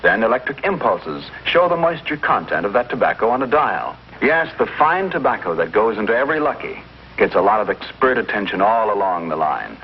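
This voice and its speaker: A man narrating a 1950s television commercial as announcer, in an emphatic, polished sales-pitch manner. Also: very animated, a little fast. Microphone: voice-over for filmed commercial. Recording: dull, noisy 1950s broadcast recording.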